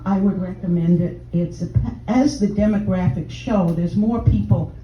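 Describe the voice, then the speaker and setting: A woman's voice: normal speed, a little hiss or hum. Brash older Texan woman on stage. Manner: brash, bawdy, chatty sales pitch. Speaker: stand-up comedian in a sex-doctor persona. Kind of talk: stand-up routine, addressing audience members. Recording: roomy, distant, dull live room sound.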